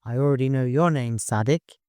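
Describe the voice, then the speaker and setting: A man's voice: mid-range voice, a little fast, mellow, clean tone, dry recording, clean studio sound, close to an everyday voice. A man voicing a shrewd, authoritative male expedition leader with a wry streak in an audiobook. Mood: genial, probing.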